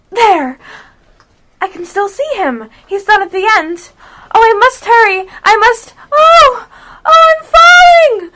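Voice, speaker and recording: A woman's voice: very high-pitched, bright, forceful tone, heavily acted. A young female amateur playing a curious, impulsive little girl in a radio play. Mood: eager, alarmed.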